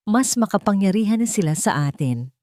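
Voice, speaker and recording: Woman voicing a soft, sorrowful rich young fiancée. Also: medium pitch, lively intonation, normal speed, dry recording, clean studio sound, pitched above the performer's own voice, natural voice. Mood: resigned. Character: wealthy young woman, sad, gentle, trapped fiancée.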